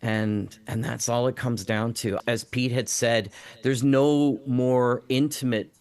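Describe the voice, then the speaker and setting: A man's voice: mid-range voice, steady tone, slow, darkish tone, smooth. A man who teaches drama, speaking reflectively and informally in a panel discussion.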